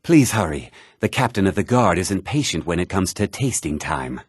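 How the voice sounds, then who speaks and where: A haughty fantasy-game meadery owner, forever exasperated. His voice is on the low side and swings animatedly, with a slightly husky edge. He sounds self-important and theatrical. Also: very animated, moderate pace, no room sound, studio quality, heavily acted. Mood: brisk, obsequious. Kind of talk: video game character dialogue.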